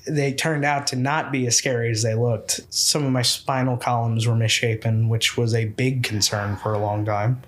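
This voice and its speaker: A young man from Tennessee, a podcast guest explaining things in an earnest, measured way. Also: medium pitch, fairly even intonation, a bit bright, slight background noise. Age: young adult. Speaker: guest, program founder. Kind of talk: interview talk.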